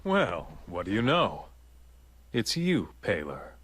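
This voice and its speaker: A man playing a composed, authoritative director in a video game, speaking in a formal, measured, polite and guarded way. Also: lowish voice, wildly swooping pitch, a bit bright, a little hiss or hum, heavily acted. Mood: cool, guarded. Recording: background music under the voice.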